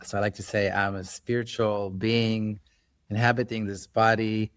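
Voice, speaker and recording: A man hosting a podcast, welcoming his guest and speaking in a calm, reflective way. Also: fairly low pitch, moderate pace, clear, resonant tone, no room sound. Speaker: podcast host.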